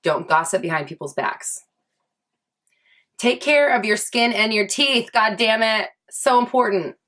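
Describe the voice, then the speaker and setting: A woman's voice: fairly high pitch, expressive, unhurried, a bit bright. A woman in her thirties talking candidly in a casual vlog, chatty and swearing freely, with many likes and restarts. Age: 30s. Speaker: vlogger.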